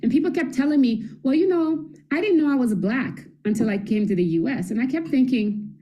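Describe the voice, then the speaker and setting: Mellow middle-aged woman telling stories. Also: high voice, expressive, fairly quick, lo-fi sound. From Haiti. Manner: chatty storytelling with laughs and self-corrections. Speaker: guest academic. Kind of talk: conversational interview answers.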